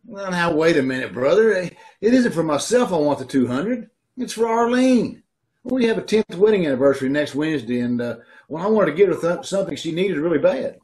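Brief: animated, high-pitched male actor as breezy sponging brother-in-law, old-time radio comedy. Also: brisk, close to an everyday voice. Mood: cajoling. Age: middle-aged. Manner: chuckling, cajoling. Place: indoors at home on a video call.

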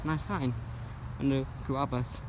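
A man's voice: medium pitch, steady tone, a little slow. Man mumbling outdoors on a phone. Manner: offhand, mumbling, quietly amused. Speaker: urban explorer vlogger. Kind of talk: casual walkthrough narration. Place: outdoors at an empty restaurant lot. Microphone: handheld phone. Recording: loud outdoor phone recording. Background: traffic and insects.

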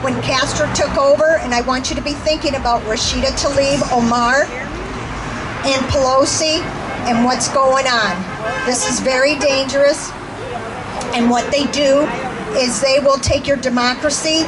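High-pitched woman giving an urgent political speech. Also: fairly even intonation, a little slow. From born in Cuba, moved to the US as a young child. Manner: earnest, urgent warning tone. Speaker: rally speaker, retired public school teacher. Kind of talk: impassioned political stump speech. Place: outdoors under a canopy by a roadside. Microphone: handheld microphone through PA speaker. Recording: noisy outdoor PA with traffic.

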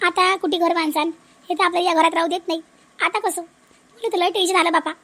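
A woman's voice: very high-pitched, fairly even intonation, brisk, a bit bright. A woman playing an aggrieved, outspoken young village daughter-in-law in a comedy cartoon, complaining in a Vidarbha Marathi accent. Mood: worried, reproachful. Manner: complaining, repetitive.

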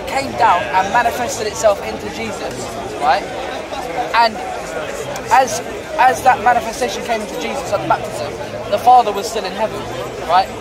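A young man with a high voice explains at length in a street debate. He rambles and keeps checking in with "right?". He is recorded outdoors in a park, with a loud crowd around him. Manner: rambling, often adds "right?". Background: crowd chatter.

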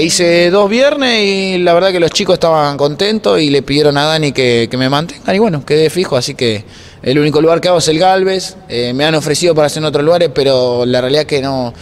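Young Argentine man over clear background noise. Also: a little high, fairly quick. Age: 20s. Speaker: interview guest, racing announcer and workshop mechanic. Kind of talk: casual spoken interview. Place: indoor block-walled room at a racetrack. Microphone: handheld interview microphone held toward him. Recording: noisy room, clear ambient background noise.

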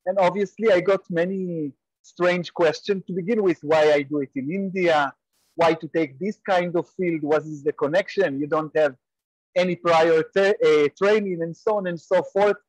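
A man's voice: on the high side, moderate pace, no room sound, rough recording. A man in his forties from Israel with an Israeli accent, a guest professor explaining earnestly and steadily on a webinar call. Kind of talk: webinar interview answer. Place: home room on a video call, virtual background. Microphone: earphone mic on a video call.

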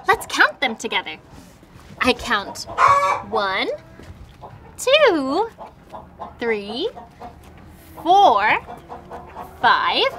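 Very high-pitched, animated young woman hosting kids' TV. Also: a little hiss or hum. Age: young adult. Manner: exaggerated, sing-song, exclamatory delivery with frequent giggles. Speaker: children's show host. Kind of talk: educational kids' show presenting. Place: outdoors at a farm with animal pens.

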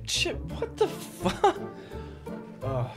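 A woman's voice: extremely high pitch, wildly swooping pitch, drawn-out words, music in the background, full character voice. A woman reading the part of a rude young male stoner motel clerk at a studio microphone in a table read.

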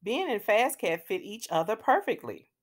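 A woman reading a children's storybook aloud in a deliberate, expressive way for young listeners. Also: mid-range voice. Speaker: children's read-aloud host. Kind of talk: scripted children's storybook narration.

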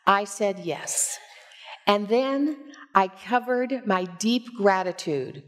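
A woman in her sixties preaching as a pastor, with measured, expressive storytelling, on a headset mic in a church. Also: mid-range voice. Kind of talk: sermon with personal storytelling. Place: church sanctuary, at a pulpit.

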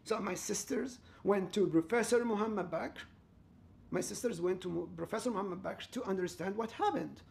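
A man's voice: high voice, normal speed, vocal fry, a little mellow, a little hiss or hum. Aggrieved man narrating a complaint. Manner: aggrieved, repetitive, emphatic. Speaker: student telling his own complaint. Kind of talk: personal commentary over recordings.

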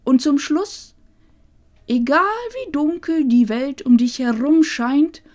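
A woman playing a loving, wise old grandmother in a fantasy audio drama, speaking solemnly and tenderly with breathy phrase endings. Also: high voice, slow pace, a little mellow. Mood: solemn, earnest.

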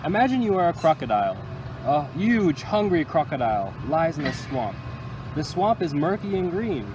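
A young man reads a picture book to small children with expressive, deliberate storytelling phrasing, recorded outdoors. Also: on the high side, muffled recording. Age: young adult. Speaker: county environmental employee reading to children. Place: outdoors under a tree by water. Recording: outdoor recording with loud ambient noise.

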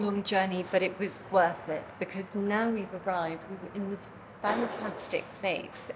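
A woman's voice: mid-range voice, noisy background. A woman in her forties giving casual, appreciative commentary to a handheld camera indoors as a vlog co-presenter. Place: indoors in a hotel.

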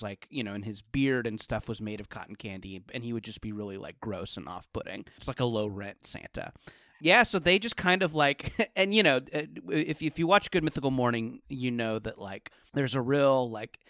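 A man's voice: mid-range voice, fairly bright tone, no room sound, clean studio sound. A chatty, enthusiastic man talking casually as a guest in a podcast interview. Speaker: podcast guest, comedy writer.

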